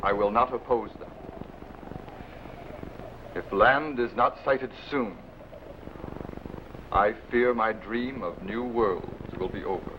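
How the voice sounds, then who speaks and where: A man's voice: on the low side, takes it slowly, long pauses. A man playing a weary, earnest middle-aged sea admiral in a formal, declamatory old-time radio adventure style. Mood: weary, foreboding. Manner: formal, declamatory archaic phrasing. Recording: dull, noisy old radio recording.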